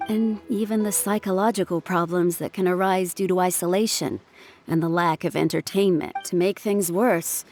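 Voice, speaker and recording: An actress playing a composed, caring ship's surgeon in a video game, explaining things in a measured, polite, cautioning way. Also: female voice, fairly low pitch, steady tone, a little mellow, faint music behind, natural voice. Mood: earnest, cautioning. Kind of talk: scripted video game dialogue.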